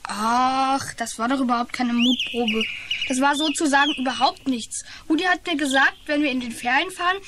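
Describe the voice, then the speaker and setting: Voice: sits high, moderate pace, natural voice. A performer playing a naive, secretive schoolboy with a hesitant manner in a children's radio play. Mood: defensive. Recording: noisy radio play with birdsong.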